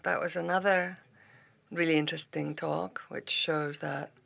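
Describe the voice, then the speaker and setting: A man moderates a science symposium, reading out a speaker's credentials casually. He adds wry asides between items. His voice is a touch high and bright, with some gravel. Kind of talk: introducing speakers at a conference. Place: lecture hall.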